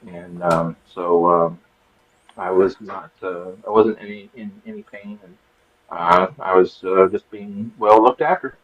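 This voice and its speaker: A man hosting a live show, talking in a rambling, halting way with many restarts. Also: low-pitched, lively intonation, slightly rough, highs cut off. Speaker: show creator and host. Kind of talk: casual chat on a live show.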